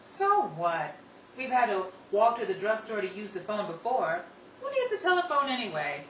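Woman acting outspoken, animated young sister in radio drama. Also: medium pitch, moderate pace. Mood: wry, chatty. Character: Sarah, outspoken young working sister, quick-tempered, fond of her family. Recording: roomy, distant mic, noisy.

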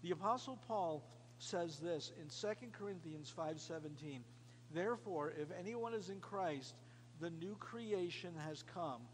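High-pitched American pastor preaching, noisy recording. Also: male voice, measured pace. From New York, United States. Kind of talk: sermon to a small congregation. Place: indoors, cafeteria used as a church hall. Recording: clearly noisy room recording with hum.

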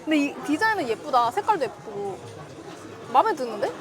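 A woman's voice: sits high, big pitch swings, a little fast. A woman chatting casually with her partner in an everyday conversational way. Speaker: vlogger's wife. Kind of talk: casual couple chat. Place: outlet shoe store. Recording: background music and store crowd noise. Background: music, shoppers' chatter.

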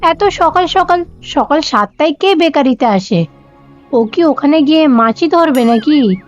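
A woman acts out a nosy middle-aged mother-in-law in a cartoon, always questioning and finding fault. The voice is high, with lo-fi sound and music behind. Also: animated, unhurried. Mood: suspicious.

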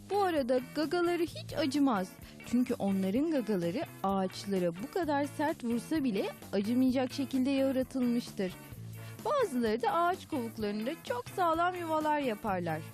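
Children's educational narrator, female, highish voice with lively intonation, soft music underneath.

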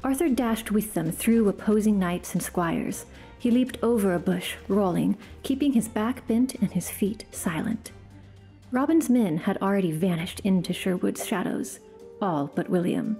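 A woman hosting a podcast and giving a dramatic reading of a fantasy story, turning hushed in tense passages. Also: medium pitch. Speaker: podcast host and reader.

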